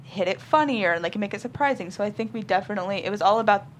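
A woman's voice: medium pitch, lively intonation, quick pace. Woman guest chatting over slight room noise. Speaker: writer, interview guest. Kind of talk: casual chat.

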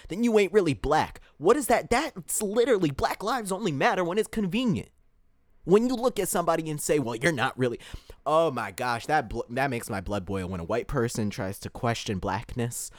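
A man's voice: fairly high pitch, animated, normal speed, no room sound. Fired-up man in a dry monologue. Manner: heated, emphatic, lots of fillers and restarts. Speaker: podcast host. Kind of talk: opinion commentary monologue.

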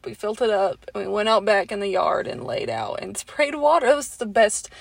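A woman's voice: medium pitch, very animated, a little fast, creaky, no room sound. A twenty-three-year-old woman co-hosting a casual podcast, joking bluntly and swearing freely, recorded in a car. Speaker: podcast co-host. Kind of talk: casual chat. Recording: dry sound, recorded in a car.

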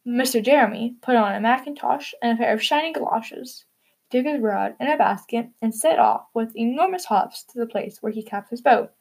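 A woman's voice: a little high, moderate pace, vocal fry, darkish tone, breathy edge. A woman hosting and narrating a storybook for children.

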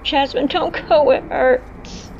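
A woman's voice: high voice, steady tone, takes it slowly. A woman playing a tortured, desperate female captive in a horror audio drama. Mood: pained, pleading. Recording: muffled lo-fi, noisy background.

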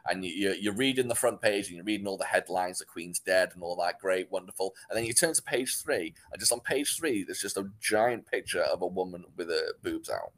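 A man's voice: low voice, fairly quick, few pauses, fairly bright tone, poor audio. A young man from North Wales with a North Welsh accent, rambling and laughing often as a podcast co-host. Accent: North Welsh, closer to English. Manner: laughs a lot, rambling with restarts. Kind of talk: casual podcast chat.

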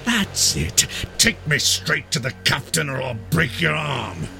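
A man's voice: on the high side, sing-song, measured pace, fairly bright tone, soft background music, voice pushed up, heavily acted. A man voicing a blind old pirate beggar who is cruel and cunning, with a whining sing-song that turns cold and menacing, in an audiobook reading. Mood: sneering. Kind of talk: audiobook character voice.

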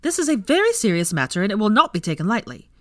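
A woman reading a story aloud, voicing a bossy young woman who questions her friend with mock severity and a raised, higher voice. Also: very animated, moderate pace, a bit bright, dry recording, studio quality. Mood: mock-severe. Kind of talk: audiobook character voice.

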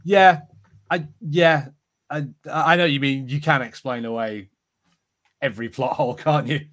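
A man's voice: mid-range voice, unhurried, a bit bright. A man chatting casually as a podcast co-host, with a wry, joking way of talking. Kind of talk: casual pop-culture chat.

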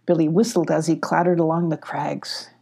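A woman's voice: low-pitched, little pitch movement, normal speed, creaky, warm tone, husky. A woman reading a children's story aloud as an audiobook narrator.

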